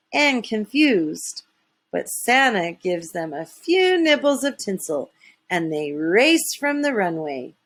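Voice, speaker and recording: A woman's voice: medium pitch, a bit bright. A woman narrating a children's story expressively, with dramatic emphasis. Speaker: storybook reader.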